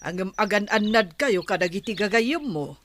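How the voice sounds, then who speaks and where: Casting: actress as cautioning mother, gently chiding, lively pitch, radio-play style. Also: normal speed, slight background noise, natural voice. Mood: worried. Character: worried, cautioning village mother. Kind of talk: Ilocano radio drama acting.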